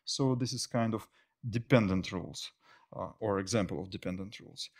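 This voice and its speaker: A middle-aged man presenting technical material as a conference speaker, often pausing mid-phrase. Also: fairly low pitch. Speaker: conference speaker, software engineer. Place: indoor stage at a tech meetup.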